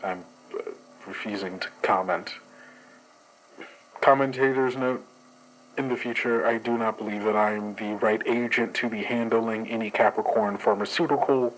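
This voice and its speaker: A man's voice: lowish voice, measured pace, a bit bright, slight background noise, rough recording. A man playing a formal, guarded dream investigator who hesitates and speaks in stiff bureaucratic phrasing. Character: formal, guarded male agent analysing dreams. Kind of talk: actual play, in-character report.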